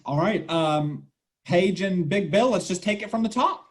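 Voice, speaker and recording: Excitable male theater director, acted by a man, high pitch, swooping, exasperated, comedy. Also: measured pace. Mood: directing. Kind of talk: farcical web comedy acting.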